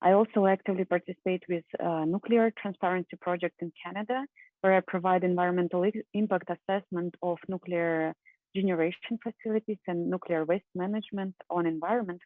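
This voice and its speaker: A woman from Russia in her thirties giving an earnest conference talk over a video call, with frequent fillers and soft laughs. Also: on the low side, no room sound. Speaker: conference speaker. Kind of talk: presentation talk. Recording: phone-like dry online call.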